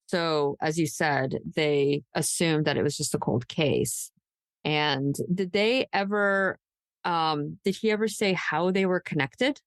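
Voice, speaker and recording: A woman podcast host speaks slowly in a lowish voice, close and dry. She discusses true crime casually, with halting pauses as she assembles the details. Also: dry recording.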